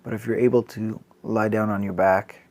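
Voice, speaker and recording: A man guiding a body scan meditation as a mindfulness teacher, calm and gentle, unhurried, leaving long pauses. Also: on the low side.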